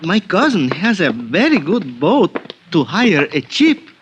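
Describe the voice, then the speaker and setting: Eager Spanish-accented bellboy hustler, lively pitch. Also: male voice, slow, full character voice. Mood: eager, obliging. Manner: wheedling, obliging, broken English. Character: small grinning, eager, scheming hotel bellboy hustler. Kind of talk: old-time radio drama acting. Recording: noisy old radio recording with clicks.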